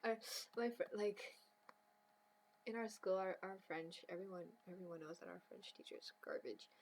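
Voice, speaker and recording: A woman's voice: mid-range voice, moderate pace, slight background noise. A young woman streaming as she draws, chatting casually and rambling, often laughing and now and then dropping to a whisper. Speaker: streamer drawing on request. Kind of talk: casual chat while drawing.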